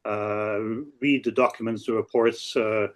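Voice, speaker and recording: A man in his sixties from Belgium, a native Dutch speaker with a Belgian Dutch accent, speaking haltingly as a law professor introducing his work on a webinar. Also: fairly low pitch, little pitch movement. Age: 60s. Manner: halting, with frequent hesitations and repeats. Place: office.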